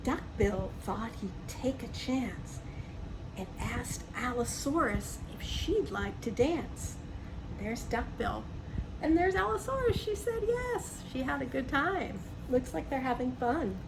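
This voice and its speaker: A woman's voice: sits high, sing-song, slow. A woman reading a picture book aloud to young children, adding chatty asides, simple explanations and questions to the listener. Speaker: storybook reader for young children. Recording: clearly noisy background.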